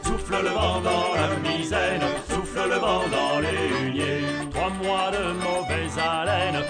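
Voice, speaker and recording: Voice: mid-range voice, takes it slowly. A singer performing a rowdy sea shanty on a commercial music recording. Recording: music recording with band backing.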